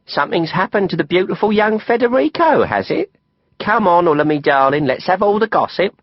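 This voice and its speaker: A man's voice: high voice, lively intonation, no echo, dull audio, pitched above the performer's own voice. Cheeky South London woman , voiced by a man. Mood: gleefully teasing. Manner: cheeky, familiar, drawn-out gossipy teasing. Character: sassy, teasing young South London woman. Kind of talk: audiobook character acting.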